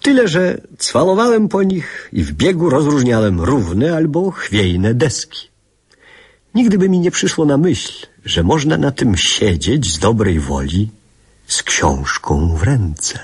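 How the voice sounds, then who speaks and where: A man's voice: mid-range voice, sing-song, slow, slightly rough, exaggerated voice. An actor playing a nostalgic older man who narrates memories of his boyhood in a lyrical, reflective radio drama style.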